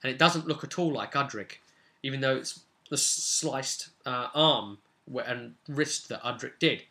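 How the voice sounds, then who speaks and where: Bright-voiced man recapping a tabletop game. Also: medium pitch, a little slow. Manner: animated storyteller, repeats words for emphasis. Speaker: game master and vidcast host. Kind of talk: casual recap of a tabletop role-play session.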